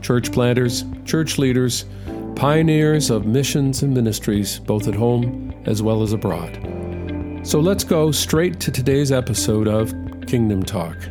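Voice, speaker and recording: A man's voice: mid-range voice, unhurried, music in the background. A man hosting a faith podcast interview, asking questions and giving encouraging replies. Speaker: podcast host.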